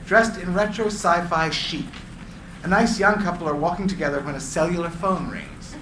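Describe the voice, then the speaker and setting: A man reading screenplay stage directions as narrator, with deliberate descriptive phrasing. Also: fairly high pitch. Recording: echoey room, clear background noise.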